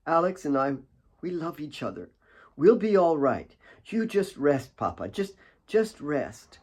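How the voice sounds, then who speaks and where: A man voicing a gentle young woman soothing her father in a hushed, tender way. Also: fairly high pitch, very animated, unhurried, pitched above the performer's own voice, heavily acted. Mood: soothing, tearful.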